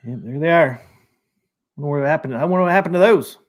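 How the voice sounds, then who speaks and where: A man's voice: fairly high pitch, quick pace. Easygoing man reading stream comments. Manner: easygoing, reads out viewer comments. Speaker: live stream host. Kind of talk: casual live stream chat.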